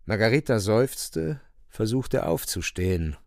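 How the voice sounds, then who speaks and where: Radio play narrator, male: solemn literary storytelling, creaky mid-range voice, very dry sound. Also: on the low side, big pitch swings, a little slow, darkish tone, dry recording.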